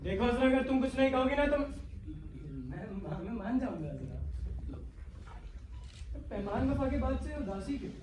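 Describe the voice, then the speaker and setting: A man's voice: very high-pitched, very animated, unhurried, pauses often, darkish tone, distant mic, exaggerated voice. Male actor as young servant lover, ardent, declamatory, stage play, echoey hall, faint noise. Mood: pleading, ardent. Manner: earnest, declamatory, florid romantic delivery. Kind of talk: Hindi-Urdu stage play acting. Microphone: far from the actors.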